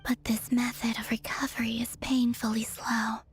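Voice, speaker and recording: An actress playing a weary, melancholy moon goddess in a video game, breathy and whispery, speaking with slow resignation. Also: female voice, on the high side, flat delivery, soft background music.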